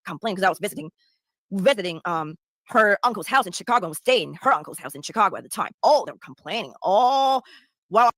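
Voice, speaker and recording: Fast, bright-voiced woman ranting. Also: medium pitch, no room sound. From Taiwan. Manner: heavy swearing, repetitive venting. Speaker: streamer in a played clip. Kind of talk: ranting casual chat.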